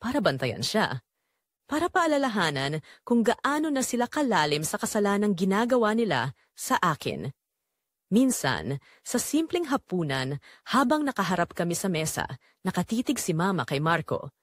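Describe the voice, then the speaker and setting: A woman's voice: mid-range voice, big pitch swings, moderate pace, dry recording, studio quality. A woman giving a dramatic, scripted first-person narration as the storyteller of an audio drama.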